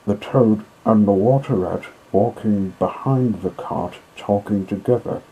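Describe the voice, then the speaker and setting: Slow, husky man reading a story. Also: on the low side, phone-quality sound. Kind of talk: dramatic audiobook narration of a children's classic.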